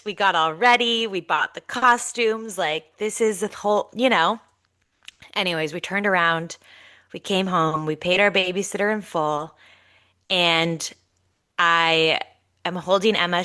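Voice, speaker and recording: Congested, chatty woman with bright tone. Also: fairly low pitch. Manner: animated, chatty storytelling. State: congested, nasal. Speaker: podcast co-host. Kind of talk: casual storytelling chat.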